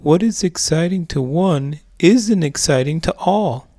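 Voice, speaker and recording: Man doing a dry, wise talking dog, lively pitch swings, close dry sound, children's story show. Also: a little slow, no echo. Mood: dry, wry. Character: Rex, talking dog sidekick, dryly wise. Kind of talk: children's read-aloud show banter.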